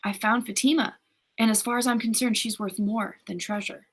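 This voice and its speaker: A woman's voice: fairly high pitch, steady tone, normal speed, husky. Woman reading as an anxious, lovestruck young boy. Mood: reluctant.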